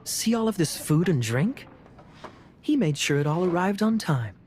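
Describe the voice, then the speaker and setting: A man's voice: high voice, very animated, moderate pace, a little mellow, soft background music. A man voicing a charming knight captain who talks in an easygoing, playful way. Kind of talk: video game voice acting.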